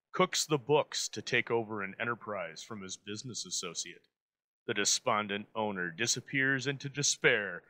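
A man's voice: on the low side, pushed and bright. A man hosting with formal, scripted narration. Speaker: host, introducer.

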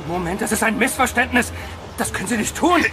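A man's voice: high-pitched, brisk, shouting. An actor playing a desperate, innocent man accused of murder, protesting urgently in a horror radio play. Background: prominent music.

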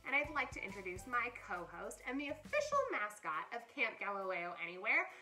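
An American woman in her thirties hosting a children's storytime with animated enthusiasm, presenting and reading aloud in a room at home. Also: mid-range voice, brisk, faint music behind, poor audio. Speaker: camp director hosting a children's story corner. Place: small furnished room at home, indoors.

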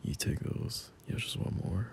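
A man playing a gentle, caring boyfriend at bedtime in an intimate ASMR roleplay, speaking softly in a breathy half-whisper with hesitant pauses. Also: a little hiss or hum, close to an everyday voice. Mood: worried, firm. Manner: soft, slow, hesitant, reassuring.

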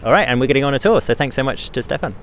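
A man's voice: medium pitch, expressive, rapid-fire, a bit bright. A man in his thirties, an access fellow, cheerfully introducing a video to his phone held at arm's length outdoors. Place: outdoors by a building. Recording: faint street noise outside.

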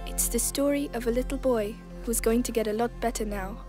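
A high-pitched teenage girl, played by a woman, protective of her family and full of resolve. She speaks as in a cinematic medieval game, with music behind her. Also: fairly quick, close to an everyday voice. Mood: gentle, kind. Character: determined, protective teenage big sister in medieval France. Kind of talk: cinematic video game drama acting.

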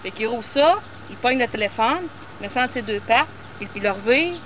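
A woman's voice: on the high side, low-quality recording. A woman with a Quebec French accent, animatedly telling friends a story about her grandson at a campground. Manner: animated storytelling, quick asides. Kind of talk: casual chat among friends. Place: campground outdoors. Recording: loud crowd and outdoor noise.

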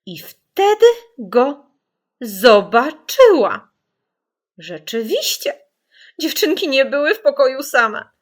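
Expressive, high-voiced woman narrating suspensefully. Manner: suspenseful, theatrical, deliberate emphasis. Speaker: audiobook narrator. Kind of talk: dramatic scripted children's story narration.

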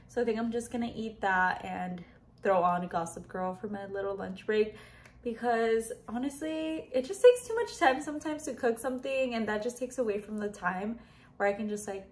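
A young woman in her twenties chatting casually to the camera at home, upbeat and full of "like" and "just". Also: medium pitch. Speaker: lifestyle vlogger. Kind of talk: casual vlog chat to camera. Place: home living room and bedroom.

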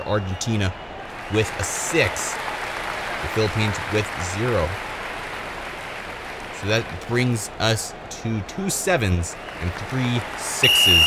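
A man giving play-by-play sports commentary on a race. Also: lowish voice. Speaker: sports commentator for marble races. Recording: loud crowd noise behind commentary. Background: loud crowd and ambient noise.

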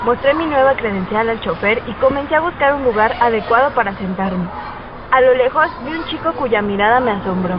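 A woman's voice: fairly high pitch, animated, brisk, lo-fi sound, noisy background, close to an everyday voice. A woman playing a teenage schoolgirl who narrates her own story in a radio drama. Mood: calm, storytelling. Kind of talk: first-person radio drama narration and dialogue.

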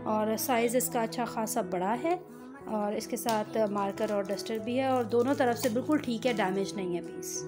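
A woman reviews a product at home in a casual, chatty vlog, pausing often on fillers. Her voice sits fairly high. Clear music plays under her speech. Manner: chatty, with many hesitations. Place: home, indoors.

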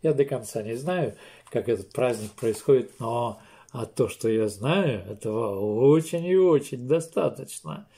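An older man, a pastor, preaching a sermon slowly and emphatically from a small room, with pauses and restarts. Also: mid-range voice, animated, dark tone. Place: small room indoors, seated in armchair.

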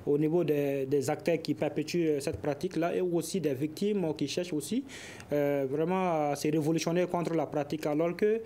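Young man, expert guest, measured and hesitant, soft warm tone. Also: on the high side, steady tone, slight background noise. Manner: measured, explanatory, frequent hesitations. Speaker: researcher guest. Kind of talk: studio panel discussion. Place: television studio.

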